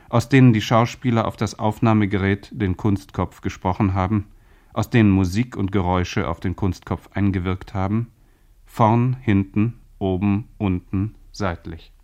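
A male radio announcer with a fairly low voice reads a formal script in a measured way. His delivery is that of 1970s public radio. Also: a little mellow.

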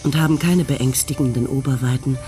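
A narrator of a dark, surreal radio play voiced by a man, speaking in an incantatory, literary way. His voice sits at a middle pitch, with music clearly audible behind it. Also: fairly even intonation, a little fast, close to an everyday voice. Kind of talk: scripted radio drama narration.

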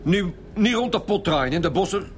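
An actor playing a brusque, impatient middle-aged Flemish police commissioner who cuts people short, in a naturalistic radio drama. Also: male voice, sits high, animated, moderate pace, slight background noise. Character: brusque, impatient male police commissioner leading murder detectives.

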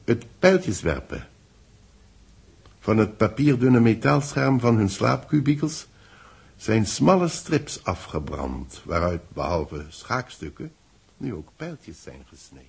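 A man acting in a 1960s radio drama, playing a composed boss who speaks in a measured, lecturing way to his secretary. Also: medium pitch, big pitch swings, gravelly, a little mellow, slightly rough, heavily acted. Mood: solemn dictation.

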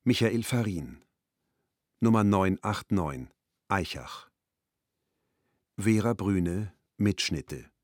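A man announcing radio play credits in a measured, formal way. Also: sits low, animated, no room sound, clean studio sound.

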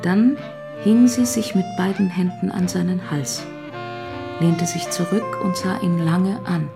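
Eine Frau erzählt als Sprecherin eines literarischen Hörspiels, gemessen und bedacht. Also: female voice, fairly low pitch, unhurried, gravelly, music in the background. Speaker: narrator. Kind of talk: literary narration in a radio play.

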